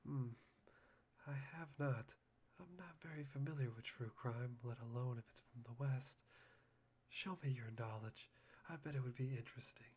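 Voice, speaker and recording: A performer playing a polite but unsettling young male student, breathy and softly teasing, in an ASMR roleplay. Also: medium pitch, moderate pace, lightly acted. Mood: calm, curious. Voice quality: breathy, husky.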